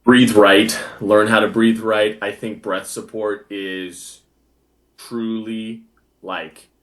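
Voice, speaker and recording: A young man and bass singer, a guest chatting casually in an interview, easygoing and full of filler words. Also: flat delivery, slow pace, a bit bright, poor audio. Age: young adult.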